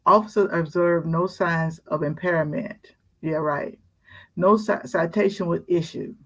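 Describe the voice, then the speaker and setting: A woman's voice: fairly low pitch, steady tone, a little slow, fairly bright tone, rough recording. A woman co-hosting a casual talk show who reads news stories aloud, stumbles over words and adds wry asides.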